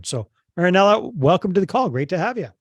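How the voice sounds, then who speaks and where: A man's voice: high voice, sing-song, quick pace, lo-fi sound, soft background music. A man hosting an interview podcast in an upbeat, chatty way, often jumping in to finish his guest's thoughts. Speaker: podcast host.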